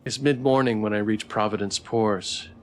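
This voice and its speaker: A man's voice: fairly low pitch, steady tone, normal speed. A man streaming a game live, reading its story text and chat aloud with music behind him.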